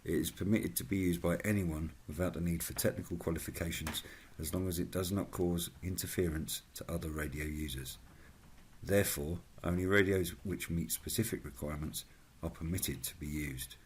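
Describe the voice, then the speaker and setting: A man with a husky voice reading a scripted narration as a channel host, in a formal, measured manner. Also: sits low, fairly even intonation.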